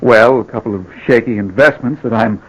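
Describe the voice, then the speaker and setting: A man's voice: medium pitch, fairly quick, muffled recording, close to an everyday voice. An actor playing an evasive, condescending rich businessman in an old-time radio drama, hedging politely. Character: evasive, smooth-talking rich businessman, a false friend.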